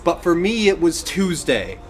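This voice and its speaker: A man in his thirties chatting casually as a podcast co-host, halting and wandering off on tangents. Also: fairly high pitch, big pitch swings. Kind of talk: casual chat about games and films.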